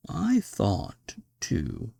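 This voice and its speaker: A man reading a classic gothic short story aloud as a podcast narrator, with measured, deliberate phrasing. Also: lowish voice, wildly swooping pitch, gravelly, mellow, husky, no room sound, studio quality.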